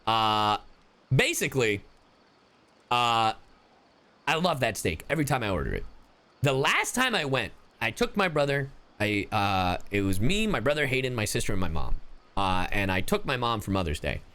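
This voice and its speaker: Animated young American male streamer. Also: mid-range voice, moderate pace. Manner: animated, slangy storytelling with profanity. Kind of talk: casual live game reaction commentary.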